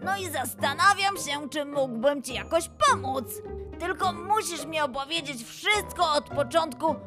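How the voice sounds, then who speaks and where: A woman's voice: high-pitched, lively intonation, a little slow, over music. A woman voicing a curious, kind-hearted little boy lion in a children's audio fairy tale, exclamatory and wide-eyed. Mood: puzzled, caring.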